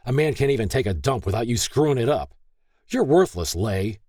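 A man's voice: medium pitch, expressive, a little fast, dry recording, studio quality, voice pushed up, natural voice. Man acting out a furious, bullying husband. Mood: furious, contemptuous. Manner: shouting, growling, contemptuous. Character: furious, abusive husband, cruel and volatile. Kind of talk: audiobook character acting.

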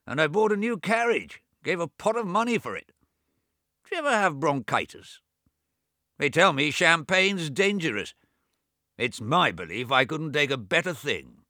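A man's voice: fairly high pitch, animated, moderate pace, bright, forceful tone, no room sound, studio quality, voice pushed up. A man reading aloud voices an ailing, boastful old man in his late seventies who complains petulantly. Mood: grumbling. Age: over 70. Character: querulous sick old man, bedridden and boastful.

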